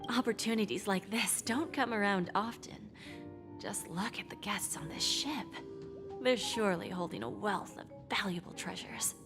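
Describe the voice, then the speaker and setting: Actress as a composed scheming pawnbroker woman, breathy and teasing, mid-pitched, game score under her. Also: lightly acted. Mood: sly. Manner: smooth, unhurried, teasing. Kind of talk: video game voice acting. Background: game music.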